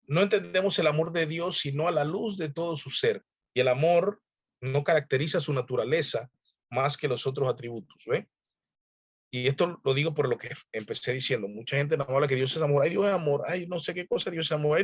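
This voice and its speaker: A man's voice: fairly high pitch, dry recording. A man, a pastor, teaching a Bible class in a loose, conversational way, often asking the listener questions and chuckling as he goes. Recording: dull dry lo-fi sound.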